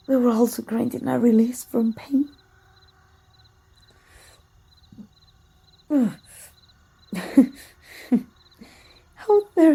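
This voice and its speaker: A woman voicing a proud, teasing, wounded immortal warrior in an ASMR roleplay, with soft, breathy, archaic formal speech. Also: fairly high pitch. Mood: weary, wry.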